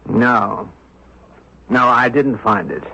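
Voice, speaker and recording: An actor playing a composed, earnest investigator in a radio drama, speaking in a measured, formal way. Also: male voice, mid-range voice, sharp tone, exaggerated voice. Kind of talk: old-fashioned radio drama acting. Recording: dull lo-fi radio recording.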